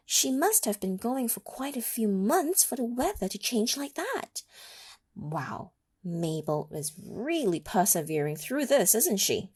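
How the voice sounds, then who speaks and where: A woman reads a children's picture book aloud slowly, in an expressive and encouraging manner. Her voice is gravelly with fry, and her pitch swings widely as she asks her listeners questions. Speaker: storytime reader.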